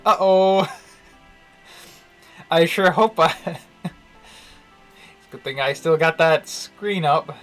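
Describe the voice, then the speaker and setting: A man's voice: on the high side, slow, long pauses, fairly bright tone. A man giving casual, joking live commentary as a game streamer, with a wry manner. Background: faint game music.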